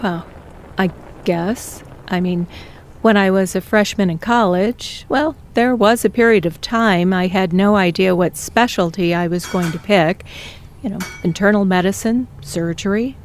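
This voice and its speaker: A woman playing a slow, firm mom. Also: mid-range voice, slight background noise, natural voice. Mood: reflective. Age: middle-aged. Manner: measured, insistent. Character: firm, pushy middle-aged mom, a doctor. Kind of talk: audio drama acting.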